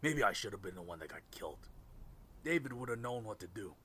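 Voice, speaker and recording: Man playing a bitter, animated young fugitive. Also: lowish voice, brisk, a little hiss or hum. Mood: bitter, dejected. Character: bitter, desperate young man on the run in a post-apocalyptic world. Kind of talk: audio drama acting. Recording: music behind the voice.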